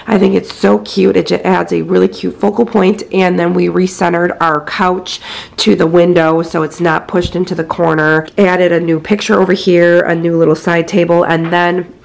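A woman's voice: on the low side, level tone, moderate pace, creaky. A woman in her thirties with a scratchy voice, chatting casually through a home decor tour indoors with a handheld phone, full of fillers. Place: inside a house. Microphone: handheld phone camera.

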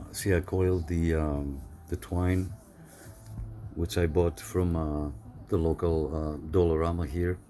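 Deep-voiced man explaining a homemade antenna. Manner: relaxed, explanatory, frequent "uh" fillers. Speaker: CB radio hobbyist host. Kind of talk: casual how-to narration.

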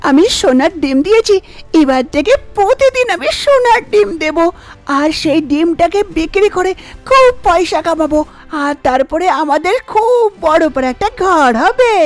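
A woman's voice: extremely high pitch, animated, normal speed. A woman voicing a sneaky, greedy young village daughter-in-law in a cartoon fairy tale, scheming and muttering to herself. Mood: excited boasting.

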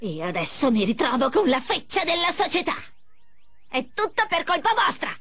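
A woman's voice: sits high, very animated, normal speed, a bit bright, no room sound. A woman voicing a spiteful, sarcastic teenage goth schoolgirl villain in an Italian cartoon game dub, snide and dramatic. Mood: furious.

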